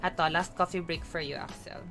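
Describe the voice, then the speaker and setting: A woman's voice: lowish voice, steady tone, brisk. A woman streaming a game live, chatting playfully to herself and her viewers. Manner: chatty, playful, code-switching, self-talking. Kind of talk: casual live gaming chatter. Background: game music.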